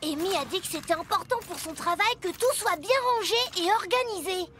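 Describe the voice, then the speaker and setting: An actor voicing an eager, excitable child truck in a children's cartoon, full of exclamations. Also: very high-pitched, a little fast, heavy background noise. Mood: urgent. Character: excited little child mini-trucks, eager young helpers.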